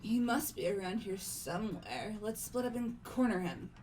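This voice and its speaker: A woman playing an earnest, brisk teenage girl in a fan game dub, with a direct delivery. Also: mid-range voice, big pitch swings, darkish tone, a little hiss or hum, natural voice. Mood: serious. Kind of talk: amateur game voice acting.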